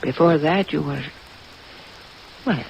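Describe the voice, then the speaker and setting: An alluring woman under suspicion in an old radio detective play. She is guarded and hesitant, with a creaky edge. The sound is dull and hissy, with rain behind. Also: sits low, takes it slowly, full character voice. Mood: guarded, wounded. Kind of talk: 1950s radio drama acting.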